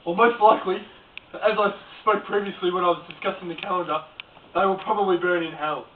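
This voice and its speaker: A man plays a crude doomsday prophet, deadpan, in a fairly high voice. The mock documentary sounds homemade, roomy and phone-thin. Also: a little fast, natural voice. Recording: echoey, distant, tinny, noisy home recording.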